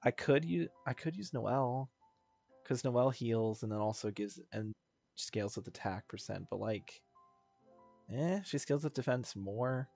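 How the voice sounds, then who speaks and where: A man's voice: mid-range voice, unhurried, vocal fry, a little mellow, faint music behind. A man giving casual gameplay commentary, rambling and thinking aloud as he plays. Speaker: video game streamer.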